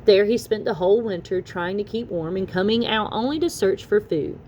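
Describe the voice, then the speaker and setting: A woman reading a children's story aloud in an expressive, animated storytelling manner. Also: mid-range voice, a little fast. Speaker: children's story reader and channel host. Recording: noticeable background noise.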